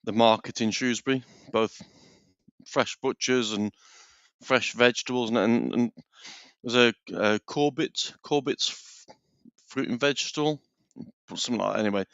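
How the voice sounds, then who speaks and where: A British man with a mid-pitched voice and a mild sore throat, chatting casually. He gives his opinions earnestly and haltingly, on a dry, slightly muffled lo-fi recording. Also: creaky, fairly bright tone, clean tone, no echo. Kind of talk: casual chat.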